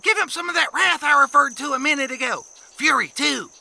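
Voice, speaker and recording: A very high, piercing farm boy, excitable and bloodthirsty. He talks in pompous, florid hick speeches with a creaky edge, as in a comedic western radio play. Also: a little fast, heavily acted. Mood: bloodthirsty glee. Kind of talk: comedic western audio drama.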